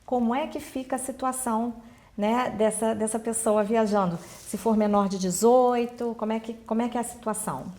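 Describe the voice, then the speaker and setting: A woman's voice: fairly high pitch, fairly even intonation, moderate pace, warm tone. A woman with a Brazilian Portuguese accent hosting a conversational interview, agreeable and confirming what is said. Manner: agreeable, often echoes and confirms the guest. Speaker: interview host, immigration lawyer.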